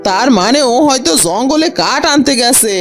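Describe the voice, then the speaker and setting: A woman's voice: high voice, animated, normal speed. A woman voicing a bad-tempered, greedy middle-aged aunt in a fairy-tale cartoon, nagging and taunting sharply over music. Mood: suspicious.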